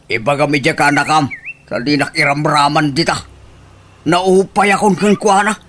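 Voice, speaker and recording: A man's voice: on the high side, moderate pace, fairly bright tone, slight background noise, lightly acted. A man playing an indignant villager in an Ilocano comedy radio drama. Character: indignant village man protesting his innocence.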